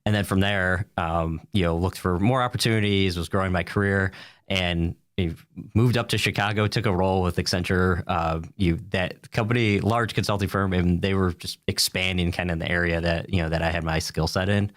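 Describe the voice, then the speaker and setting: An American man with a deep voice talks as an interview guest. His answers are casual and wandering, padded with small filler phrases. The sound is dry, with little room around it. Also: fairly even intonation, fairly quick, dry recording. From United States, upstate New York. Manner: rambling, peppered with "you know" and "like".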